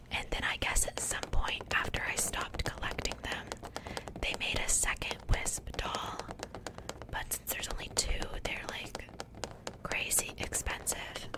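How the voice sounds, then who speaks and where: A woman speaking in a breathy, unvoiced whisper as an ASMR host, chatting casually and unhurriedly as she comments on an unboxing.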